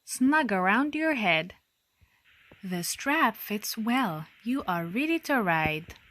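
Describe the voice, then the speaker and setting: A woman voicing a friendly, reassuring female police officer in a children's storybook, encouraging and deliberate. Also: mid-range voice, lively intonation, fairly bright tone.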